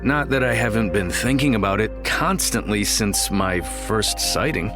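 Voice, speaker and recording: An actor playing a lonely, haunted man in an audio drama, speaking in a hesitant, halting way. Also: fairly low pitch, lively intonation, over music. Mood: troubled, overwhelmed. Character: disconnected, lonely male witness haunted by strange sightings.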